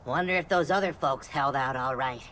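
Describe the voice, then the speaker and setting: A man's voice: mid-range voice, normal speed, sharp tone, a little hiss or hum, natural voice. A man voicing a small, friendly, adventurous forest spirit in a video game, with a chummy, casual manner. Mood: upbeat, casual. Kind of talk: video game cutscene acting. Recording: music behind the voice.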